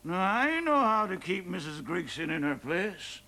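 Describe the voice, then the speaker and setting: A gravelly, blustering Irish drunk. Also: male voice, sits high, very animated, unhurried, exaggerated voice. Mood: maudlin confiding. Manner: blustering, laughing, bragging, shouting drunkenly. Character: drunken boastful Irish lodger, middle-aged man.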